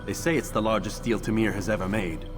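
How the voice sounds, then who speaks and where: An actor playing a proud, coldly determined young assassin in a video game, with terse, haughty delivery. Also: male voice, fairly low pitch, steady tone, quick pace, over music, close to an everyday voice. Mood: cool, determined.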